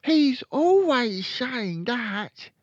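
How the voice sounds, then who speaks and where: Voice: medium pitch, sing-song, very slow, no room sound, dull audio, voice pushed up. A narrator voicing a stubborn, boastful six-year-old boy in a storybook.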